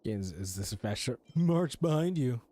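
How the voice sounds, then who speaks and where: A man's voice: medium pitch, expressive, moderate pace, dark tone, no echo. Blunt man casually commenting on a game. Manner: blunt, profane, incredulous. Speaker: game streamer. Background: faint game music.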